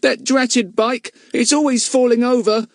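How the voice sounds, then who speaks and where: A man's voice: very high voice, fairly even intonation, measured pace, dry recording, clean studio sound, pitched above the performer's own voice. A man voicing a polite, eager teenage telegraph boy who speaks meekly and apologetically. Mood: apologetic. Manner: meek, apologetic.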